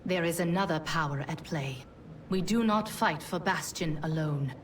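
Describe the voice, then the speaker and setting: A distant, low-voiced fallen angel played by a woman schemes with grave conviction. She is deliberate and conspiratorial, in fantasy game style, with some ambient noise around her. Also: natural voice. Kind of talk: fantasy video game voice acting.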